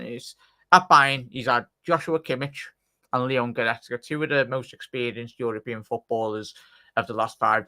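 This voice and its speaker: A man's voice: mid-range voice, sharp tone. A man hosting a football fan live stream, talking to the chat about a new signing. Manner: addresses the chat directly.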